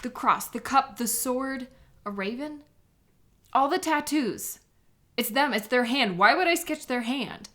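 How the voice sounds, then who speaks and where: Rattled female sketch artist for audio drama, acted by a woman: fairly high voice, agitated, emotional, on edge. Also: lively intonation, unhurried, fairly bright tone. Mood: alarmed.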